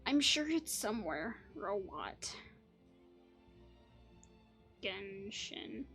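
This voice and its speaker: A woman's voice: medium pitch, big pitch swings, slow, fairly bright tone, faint music behind. A woman hosting a gaming video, giving casual, musing commentary that wanders off on tangents. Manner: musing, digressive. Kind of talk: casual chatty playthrough commentary.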